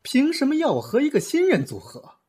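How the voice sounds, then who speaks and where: A man's voice: very high-pitched, huge pitch swings, brisk, darkish tone, heavily acted. A man playing a proud, vain young pop star with a haughty manner in an audio drama. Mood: indignant. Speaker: voice actor in fan audio drama. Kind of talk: radio drama acting. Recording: music under the voice.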